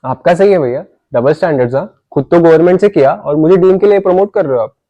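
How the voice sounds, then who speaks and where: A man's voice: a little high, racing, dull audio, close to an everyday voice. A young man playing a sulky new student. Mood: complaining. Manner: complaining.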